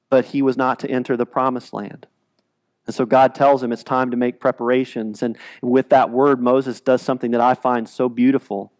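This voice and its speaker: A man in his forties preaching a sermon in a church sanctuary, animated and gesturing, often repeating words as he restarts. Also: fairly high pitch. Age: 40s. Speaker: pastor. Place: large church sanctuary, speaking from the stage.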